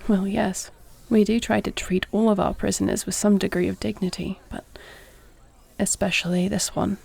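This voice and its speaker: A woman playing a duty-bound warrior queen and tender wife in an intimate audio roleplay, speaking in a measured, earnest, formal way. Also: mid-range voice, level tone, creaky, a little mellow, husky. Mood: grave, measured. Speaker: voice actor.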